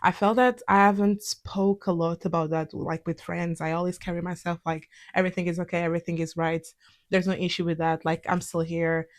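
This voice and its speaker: Lower-voiced young Portuguese-accented woman. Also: little pitch movement, brisk. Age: young adult. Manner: conversational, reflective. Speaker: vlog host. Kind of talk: candid personal vlog monologue.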